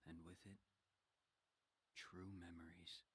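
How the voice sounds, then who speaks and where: An actor voicing a cryptic, solemn mysterious stranger in a video game, with husky, breathy speech. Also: male voice, sits low, little pitch movement, slow pace, sharp tone, heavily acted. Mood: solemn, ominous.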